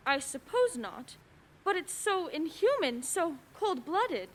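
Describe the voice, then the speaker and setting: A woman's voice: very high voice, very animated, takes it slowly, fairly bright tone, full character voice. A woman playing a fretful, haughty bedridden wife in a suspense radio play, agitated and insistent. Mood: anxious, shaken.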